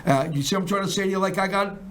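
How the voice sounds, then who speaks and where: Rambling high-voiced showman host. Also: racing, a bit bright. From South Philadelphia. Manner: boastful, rambling, jokey showman. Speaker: talk show host. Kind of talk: casual promotional chat.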